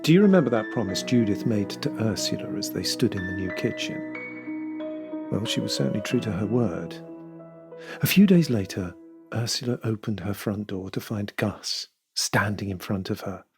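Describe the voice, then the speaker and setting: A narrator delivering scripted drama narration in a deliberate, measured storytelling manner. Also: mid-range voice, expressive, music in the background.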